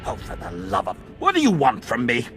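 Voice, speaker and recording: An actor playing a pompous, blustering, self-important corporate administrator in a video game. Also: male voice, medium pitch, wildly swooping pitch, brisk, creaky, over music. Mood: indignant.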